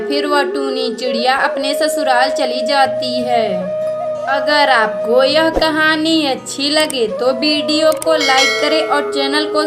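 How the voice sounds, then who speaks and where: A woman narrating a children's cartoon story in a scripted storytelling style. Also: high-pitched.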